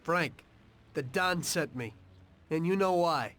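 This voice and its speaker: Higher-voiced, loyal American mob enforcer. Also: male voice, expressive, slow pace, fairly bright tone, a little hiss or hum. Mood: troubled, confronting. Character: loyal young mob enforcer, dutiful, plain-spoken tough. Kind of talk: dramatic video game voice acting. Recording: music behind the voice. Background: background music.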